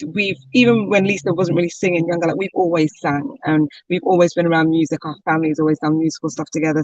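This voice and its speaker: A woman's voice: on the low side, no room sound, muffled recording. A woman singer-songwriter chatting as an interview guest, talkative with lots of fillers and easy laughter. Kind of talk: casual interview chat.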